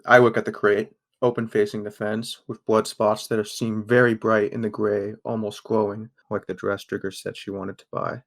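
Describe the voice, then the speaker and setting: A young man acts a sardonic, guarded teenage boy narrating a bleak audio drama. The voice sits low and stays very even, with a subdued, first-person storytelling delivery. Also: normal speed, gravelly, hardly any pauses. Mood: tense, subdued.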